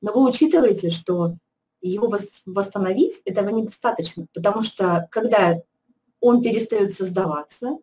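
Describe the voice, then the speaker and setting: A woman's voice: mid-range voice, animated, normal speed, dark tone. Woman, middle-aged, webinar presenter, persuasive, faint background noise. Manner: persuasive, many fillers and restarts. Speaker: product presenter. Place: indoor office, video call.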